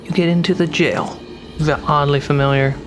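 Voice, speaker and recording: A game master narrating a tabletop fantasy game in a measured, dramatic way, pausing between phrases. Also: medium pitch, steady tone, over music.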